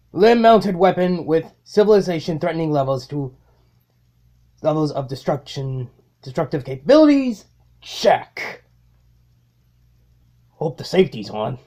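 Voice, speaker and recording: A man voicing a vengeful, grandiose robot tyrant in an amateur comic dub, theatrical and halting, with sardonic muttered asides. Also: on the high side, very animated, a little mellow. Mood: dry, sardonic.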